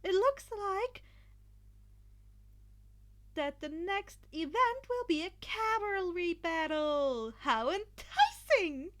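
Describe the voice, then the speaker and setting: Woman plays excitable female festival announcer: high, showy, stretched-out phrasing, relishing the rules. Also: full character voice. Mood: gleeful. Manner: gleeful, dramatic, drawn-out delivery. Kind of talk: fan fiction podfic character voice.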